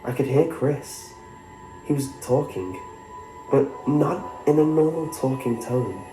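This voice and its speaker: A man reading a scripted first-person horror story in a measured storytelling way. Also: mid-range voice, mellow. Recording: roomy sound with music behind. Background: background music.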